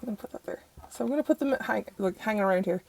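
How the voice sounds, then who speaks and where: Croaky-voiced Irish woman, chatty. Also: mid-range voice, very fast, a little mellow. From Ireland. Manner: chatty and rambling, self-interrupting. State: croaky, recovering from illness. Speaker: tarot channel host. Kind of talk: casual deck review. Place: bedroom at home.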